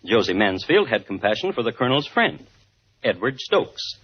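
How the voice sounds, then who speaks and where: Wry radio crime host, lively intonation. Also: male voice, lowish voice, slightly rough. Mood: dryly ironic. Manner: deadpan irony, deliberate phrasing. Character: dry, wry crime-story host telling old scandals. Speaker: host and narrator. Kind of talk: 1950s radio scripted narration. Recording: dull old radio recording.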